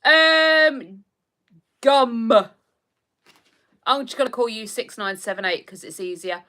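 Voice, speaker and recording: A woman's voice: fairly high pitch, expressive, slow, pushed and bright. An English woman in her thirties hosting a livestream, chatting casually and reacting to viewer comments. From England. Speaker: livestream host.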